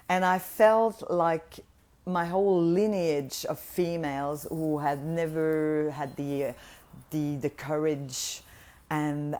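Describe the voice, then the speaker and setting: A woman's voice: low voice, darkish tone. A woman with a French accent giving a gushing, effusive motivational talk to an online audience, often restarting her sentences. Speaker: guest speaker and mentor.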